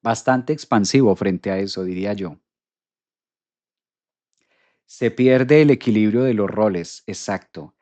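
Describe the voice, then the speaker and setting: A man's voice: fairly low pitch, unhurried, pauses often, dry recording. A man hosting a live astrology stream, talking animatedly to viewers, repeating phrases and reading out their comments. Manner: animated, repeats phrases, addresses audience directly.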